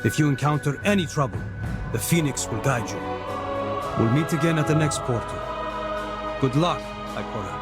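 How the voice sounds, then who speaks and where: Purposeful game mentor, played by a man: medium pitch, grave, formal phrasing, loud score behind. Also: expressive, lightly acted. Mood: encouraging, purposeful. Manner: formal, measured. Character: grave, knowing male warrior mentor, purposeful and encouraging. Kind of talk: dramatic video game acting. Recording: loud music over dialogue.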